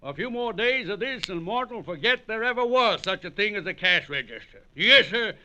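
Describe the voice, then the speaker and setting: An actor playing a genial, folksy city husband smitten with ranch life, hearty and chatty in a 1940s radio drama. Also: male voice, high-pitched, animated, fairly quick, bright, forceful tone, dull audio, exaggerated voice. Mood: earnest, effusive.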